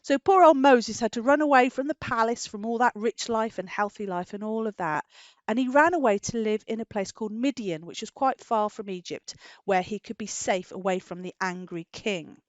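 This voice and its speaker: A British woman tells a children's story in a fairly high voice. She is animated and full of questions, drawing out important words. The sound is dry and a little dull. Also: normal speed, no echo. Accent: British English. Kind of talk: storytelling for young children, chatting with a puppet.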